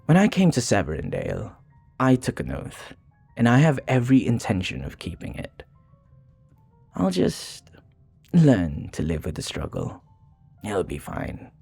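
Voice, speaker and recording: A man's voice: on the low side, wildly swooping pitch, measured pace, creaky, pauses often, darkish tone, slightly rough, faint music behind, full character voice. A man playing a charming, sincere vampire bartender who flirts tenderly and hesitantly in an intimate ASMR roleplay. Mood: resolute, resigned.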